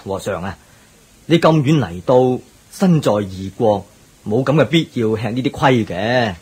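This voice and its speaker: Devout, welcoming middle-aged shop owner, very husky mid-range voice, Cantonese radio drama, polite and reassuring. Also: male voice, medium pitch, lively intonation, measured pace, darkish tone. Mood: earnest, hospitable. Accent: Cantonese, Hong Kong. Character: hospitable, devout middle-aged overseas Chinese shopkeeper.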